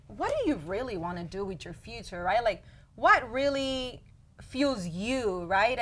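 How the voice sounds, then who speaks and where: A young adult woman hosting a podcast, chatting in an encouraging way and often tagging her lines with "right?". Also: medium pitch. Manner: warm encouraging, many "like" and "right?" tags. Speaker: podcast host. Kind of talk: casual heartfelt interview chat.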